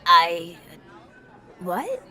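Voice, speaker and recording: An actress playing a grumpy, sardonic, centuries-old irradiated ghoul woman in an audio drama, gravelly and blunt. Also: fairly low pitch, wildly swooping pitch, extremely slow, pushed and bright, some chatter behind, exaggerated voice. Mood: taken aback.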